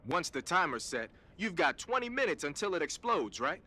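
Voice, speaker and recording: A man's voice: a little high, sing-song, moderate pace, bright, forceful tone, full character voice. An actor playing a cool, slangy male weapons expert who briefs casually in a video game. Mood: breezy, instructive. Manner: casual, streetwise, chatty.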